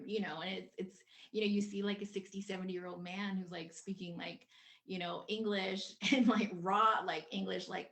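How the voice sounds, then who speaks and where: A woman born in the Philippines whose first language is Tagalog, speaking on a panel in a casual, animated way with many fillers and restarts. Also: fairly low pitch. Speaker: panel guest.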